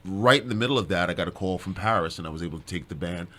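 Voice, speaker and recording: A mature man talking as an interview guest in a fairly low voice. He explains casually, pausing and piecing his sentences together as he goes. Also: pushed and bright. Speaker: music director, interview guest.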